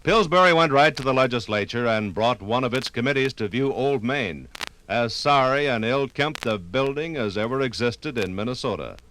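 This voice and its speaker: An American man narrates a 1950s radio documentary in a formal, theatrical style with measured phrasing. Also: mid-range voice, lively intonation, fairly bright tone, a little hiss or hum, low-quality recording. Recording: vintage 1950s radio recording.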